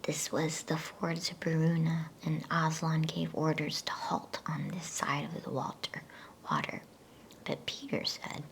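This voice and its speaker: Female ASMR storyteller: breathy whisper, very slow, low and soothing, for gentle bedtime reading. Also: slight background noise. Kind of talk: whispered ASMR reading aloud of a children's novel.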